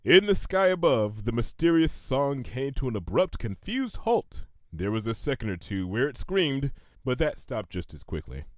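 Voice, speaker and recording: A man's voice: medium pitch, sing-song, moderate pace, dry recording, studio quality. A man narrating a comedic fan fiction story in a theatrical, emphatic manner, drawing phrases out for suspense.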